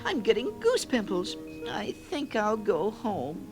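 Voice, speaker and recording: Man doing a fretful, high-voiced mother goose. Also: huge pitch swings, slow pace, full character voice. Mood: flustered, nervous. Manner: fretful, fussy. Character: shy, humble, nervous female goose, a mother. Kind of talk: children's storybook record character voice. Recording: vintage record with music behind.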